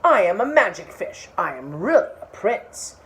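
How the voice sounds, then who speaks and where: Lady doing a magic fish prince from a storybook, bossy short lines, pitch moves around a lot. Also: very animated, normal speed, fairly bright tone. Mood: calm. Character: magic fish, an enchanted prince, brisk and commanding.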